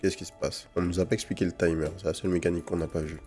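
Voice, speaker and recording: A man with a low, mellow voice hosts a gaming video. He comments casually on what he plays, using loose slang and English gamer words. Game music plays behind him. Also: flat delivery, very fast. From French Guiana. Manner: informal slang sprinkled with English gaming terms. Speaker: gaming channel host. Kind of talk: casual gameplay commentary with first impressions.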